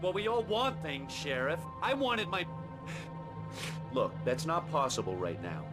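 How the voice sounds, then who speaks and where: An actor playing a taunting, hot-tempered, drunken federal agent in a thriller game. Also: male voice, a little high, sing-song, measured pace. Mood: irritated. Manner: taunting, mocking. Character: sneering, volatile drunken male federal agent. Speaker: FBI agent antagonist. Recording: clear music behind voice.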